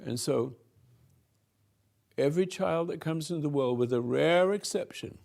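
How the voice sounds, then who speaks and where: An older man slowly giving a rambling spiritual talk to a room, halting and restarting, swinging between soft and loud. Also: on the high side, gravelly. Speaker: spiritual teacher hosting a talk.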